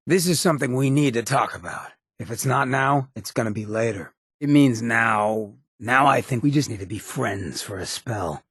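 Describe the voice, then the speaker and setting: An actor playing a streetwise, blunt yet loyal ghoul mayor in a video game, with a husky rasp and a slangy drawl. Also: male voice, medium pitch, lively intonation, moderate pace, few pauses, fairly bright tone, no room sound, studio quality. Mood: stern, threatening. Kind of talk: video game companion dialogue.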